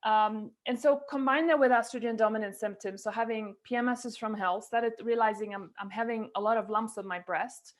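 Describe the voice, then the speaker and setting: A middle-aged woman guest on a video call, telling her own story with animated gestures and frequent fillers and restarts. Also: on the high side, a little fast, few pauses. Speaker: guest, nutrition coach. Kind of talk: candid interview storytelling. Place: indoors at a window with a snowy forest view. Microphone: video call, webcam.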